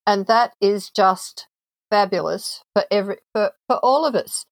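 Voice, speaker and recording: A woman's voice: medium pitch, measured pace, no room sound. A woman hosting an interview, telling stories in a reflective, conversational way. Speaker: host.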